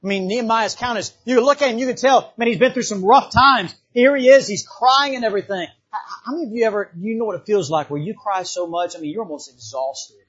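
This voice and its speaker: A man's voice: high-pitched, fast talker, hardly any pauses, a bit bright, slightly rough, dull audio. A man from the Bahamas preaching a revival sermon in a church, fervent and repetitive, often calling out amen.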